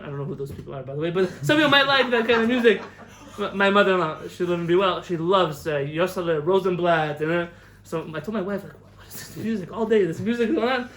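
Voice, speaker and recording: Animated rabbi lecturing to an audience. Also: male voice, sits high. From United States. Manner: animated storyteller, acts out quotes, laughs at own jokes. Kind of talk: lively lecture with stories and asides. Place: lecture hall in front of an audience. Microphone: camera recording of a live talk.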